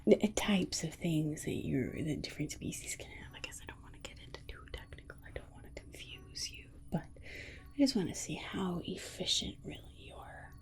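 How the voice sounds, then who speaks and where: A woman playing a patronizing yet soothing alien scientist in an ASMR roleplay, softly reassuring her human subject. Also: deep voice, normal speed. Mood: politely condescending. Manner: patronizing, soothing, hesitant murmured repetitions. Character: condescending, sweetly reassuring female alien scientist examining a human. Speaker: ASMR performer.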